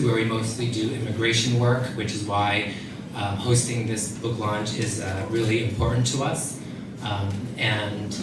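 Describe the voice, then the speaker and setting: A man from New York gives an informal welcome speech as the head of an organization. He sounds distant in a very reverberant studio, over clear background noise. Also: on the low side. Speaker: nonprofit chief executive, welcome speaker. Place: indoor dance studio, before an audience. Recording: echoey dance studio, distant, noisy.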